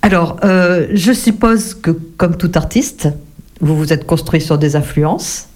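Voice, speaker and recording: Mellow-voiced woman interviewer, courteous. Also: on the low side. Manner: courteous, measured questions with many "euh" pauses. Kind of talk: radio-style interview.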